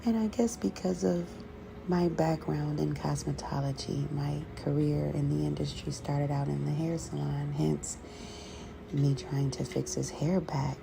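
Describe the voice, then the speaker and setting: A woman with a gravelly, creaky voice giving a halting, conversational explanatory voiceover as the host of an ASMR video. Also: deep voice, steady tone. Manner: unhurried, conversational, halting with pauses. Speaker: host, scratch therapy practitioner. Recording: clearly audible background noise.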